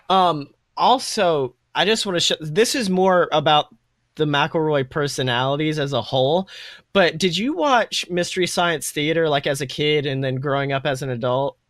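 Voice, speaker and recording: A man's voice: on the high side, normal speed, a bit bright, dry recording, studio quality. A young man co-hosting a podcast, chatting casually and enthusiastically in a rambling, gushing way that often interrupts itself. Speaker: podcast co-host.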